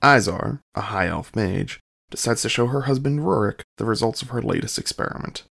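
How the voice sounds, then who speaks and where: A man's voice: medium pitch, moderate pace, creaky, a bit bright, breathy edge. A man giving scripted scene-setting narration for an audio drama.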